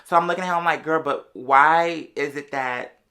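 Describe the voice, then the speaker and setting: Casting: storytime vlogger, bright forceful mid-pitched voice, casual, digressive, sassy slang. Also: normal speed. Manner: rambling, digressive, sassy slang, calls everyone "girl". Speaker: vlogger telling a story to followers.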